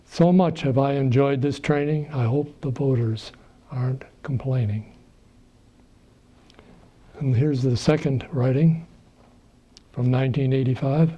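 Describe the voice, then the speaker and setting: A man's voice: medium pitch, a little slow, long pauses, mellow. A man reading rhyming verse aloud, on a slightly dull, muffled recording. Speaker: reader of poems.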